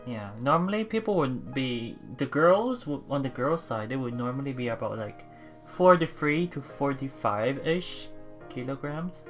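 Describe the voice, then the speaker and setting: A let's player reads a visual novel aloud with casual commentary on the side. The delivery is animated and reactive, with very lively swings in pitch. Game music plays behind the voice. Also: measured pace. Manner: animated, reactive, laughs often. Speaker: let's play streamer.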